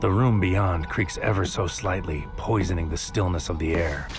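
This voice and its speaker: Low male lead: wary noir investigator, brooding measured narration, sci-fi audio drama, music bed. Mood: brooding, tense. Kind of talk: first-person scripted audio drama narration, present tense.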